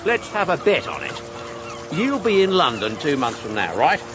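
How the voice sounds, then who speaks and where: A man's voice: on the high side, very animated, normal speed, background noise. A man playing a stubborn, blustering Australian businessman in a radio drama, blunt and challenging with his friend. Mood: goading.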